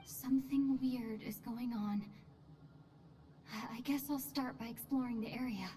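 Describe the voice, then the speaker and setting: A woman's voice: a little high, fairly even intonation, a little slow, warm tone, slight background noise, close to an everyday voice. A woman voicing a puzzled, earnest young video game adventurer in a hesitant, musing manner.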